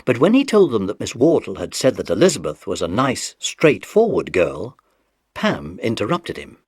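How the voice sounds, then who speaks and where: A man's voice: fairly low pitch, wildly swooping pitch, moderate pace, darkish tone, slightly rough, no room sound, clean studio sound. A British man narrating a scripted children's audiobook story.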